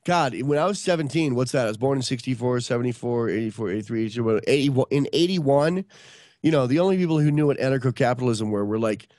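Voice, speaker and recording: A man's voice: medium pitch, animated, fast talker, darkish tone, no room sound, studio quality. A man in his early fifties from upstate New York, telling stories in a rambling casual way on a podcast video call. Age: 50s. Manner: rambling, many restarts and fillers. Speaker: podcast guest. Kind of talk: casual storytelling chat.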